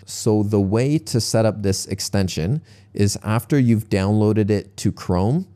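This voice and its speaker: A young man teaching English to learners, explaining tips step by step in a relaxed, casual tutorial. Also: lowish voice, unhurried.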